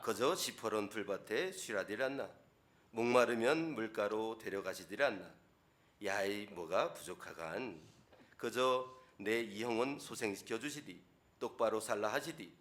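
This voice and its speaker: A pastor putting on a bold North Korean villager. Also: male voice, lowish voice, unhurried, fairly bright tone. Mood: hearty. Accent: Pyongan dialect of North Korea. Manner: folksy, emphatic dialect reading. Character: plain-spoken North Korean man, hearty and bold.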